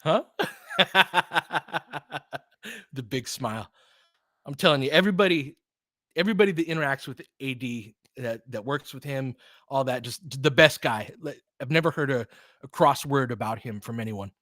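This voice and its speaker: A man calls basketball play-by-play in a clipped, running style at an ordinary pitch for a man. He breaks into excited bursts and quick laughs when plays go his team's way. The sound is close and dry, with no room behind it. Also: no echo. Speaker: play-by-play commentator. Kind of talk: fan play-by-play commentary.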